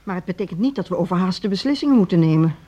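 An actress playing a measured, firm school director in her fifties in a radio drama, deliberate and diplomatic. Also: fairly low pitch, expressive, mellow, highs cut off, close to an everyday voice. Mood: calm, firm. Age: 50s. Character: measured, firm middle-aged female music school director. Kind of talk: Dutch radio drama acting.

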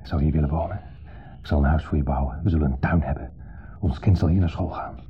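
An actor playing a wistful, sentimental divorced man in a 1970s Dutch radio play, speaking haltingly and reflectively in short fragments. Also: rumbling low. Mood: tender, hushed. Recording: muffled, lo-fi 1970s radio recording.

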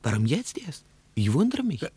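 An actor playing a nervous, eager young Russian interpreter in a radio play, speaking formal German earnestly. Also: male voice, on the high side, wildly swooping pitch, normal speed, dark tone, husky, exaggerated voice. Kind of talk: 1950s radio play acting.

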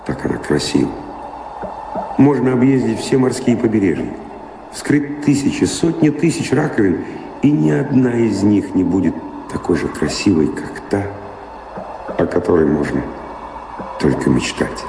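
An actor playing a weary, dreamy wandering adventurer in a radio drama, musing and halting in a poetic way. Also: male voice, fairly low pitch, lively intonation, vocal fry. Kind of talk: theatrical radio drama acting. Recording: roomy reverberant, noisy old radio play recording.

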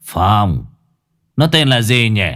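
A man voicing a cold, ruthless middle-aged gang boss who speaks slowly and menacingly. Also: fairly low pitch, heavily acted. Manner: slow, menacing, icy calm.

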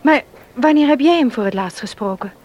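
An actress playing a concerned, sincere young pastor's wife who asks probing questions in a radio play. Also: female voice, high-pitched, expressive, a little fast, a little mellow, slight background noise. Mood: friendly, concerned. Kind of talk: Dutch radio play acting.

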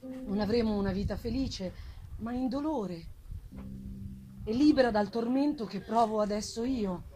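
A woman's voice: on the high side, normal speed, long pauses, mellow, lightly acted. A woman voicing a wretched, vengeful male monster, pleading eloquently in a solemn, theatrical gothic reading. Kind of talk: dramatic gothic novel reading. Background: live plucked guitar music.

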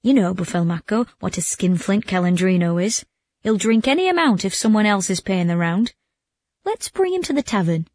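A woman's voice: medium pitch, animated, normal speed, a little mellow, no echo, close to an everyday voice. A woman voicing a cunning male trickster in a storybook, goading and mock-doubting. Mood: scheming. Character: sly, mocking male prankster, egging on his victim.

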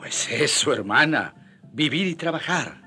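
An actor playing a humble, kindly Spanish friar in a radio drama, speaking in an earnest, respectful and gentle manner. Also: male voice, on the high side, animated, unhurried, soft background music, full character voice.

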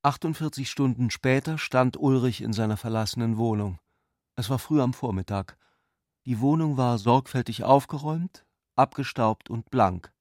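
A man reading literary narration for a radio drama in a measured, articulate manner. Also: medium pitch, darkish tone, no room sound, studio quality.

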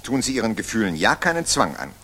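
Very deep, husky, mocking baron. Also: male voice, sing-song, fast, sharp tone, heavily acted. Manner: smooth-tongued, needling politeness. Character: suave, mocking, insinuating baron. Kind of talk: 1960s German radio drama acting.

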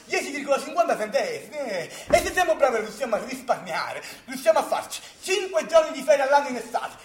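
Young neapolitan man, super high voice, nervous and rambly radio play character, echoey room. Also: very animated, fast talker, slight background noise. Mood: anxious reckoning. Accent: Neapolitan, southern Italian. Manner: rambling, agitated, repetitive. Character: anxious, excitable young precarious worker obsessively counting money. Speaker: stage actor. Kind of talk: theatrical radio drama monologue and dialogue.

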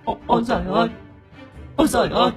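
A man voicing a sly, vulgar hungry demon in a storybook, with a shrill, strained, whining and wheedling voice. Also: rapid-fire, soft background music. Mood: whining, pleading. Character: sly, vulgar hungry demon possessing villagers, pleading then mocking.

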